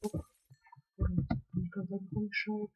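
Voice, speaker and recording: A young man casually commenting on a game he plays, slightly ill and clearing his throat, mostly muttering to himself. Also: high voice, normal speed, faint music behind. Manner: mutters to himself while playing, then talks excitedly to viewers. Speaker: gaming channel host. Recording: dull lo-fi mic.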